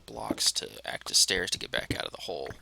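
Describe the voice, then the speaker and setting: A man casually talking to himself as he plays a game, rambling in a relaxed way. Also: low voice, a little fast, vocal fry, background noise. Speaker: let's play host. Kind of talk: casual gameplay commentary.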